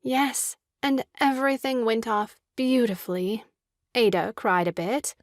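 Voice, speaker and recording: Woman acting a sweet, slow-spoken young sister. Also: fairly high pitch, no room sound, studio quality, close to an everyday voice. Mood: sweetly pleased. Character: gentle, fond young sister, sweetly soothing. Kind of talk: comic stage farce acting.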